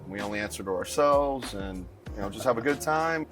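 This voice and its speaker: A man's voice: fairly low pitch, expressive, fairly quick, fairly bright tone. A man from Miami, a bartender and bar co-owner, chatting in an interview in a laid-back, slangy way. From Miami, United States. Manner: laid-back, slangy, says dude and bro. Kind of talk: casual interview chat. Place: inside a bar. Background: music.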